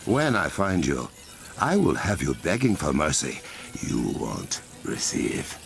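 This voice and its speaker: A proud warrior king from a video game, very low and gravelly. He speaks formally and with command, his pitch sweeping widely between measured menace and authority, with music behind him. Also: huge pitch swings, exaggerated voice. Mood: cold menace. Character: proud, regal adult male warrior king, protective and menacing. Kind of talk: dramatic video game acting.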